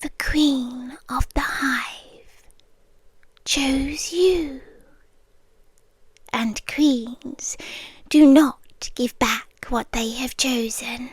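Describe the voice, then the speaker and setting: A woman voicing a sweetly menacing hive queen in an ASMR roleplay, talking softly and drifting in and out of whispers. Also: high voice, husky, dry recording. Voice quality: soft, slow, drifting between whisper and voice. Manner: coaxing, intimate, quietly threatening.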